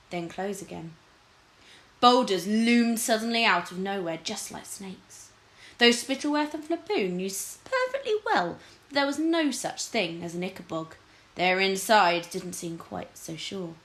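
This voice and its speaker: A woman's voice: mid-range voice, sing-song, unhurried, a bit bright. A woman narrating a children's storybook expressively, like a teacher reading aloud. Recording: echoey room.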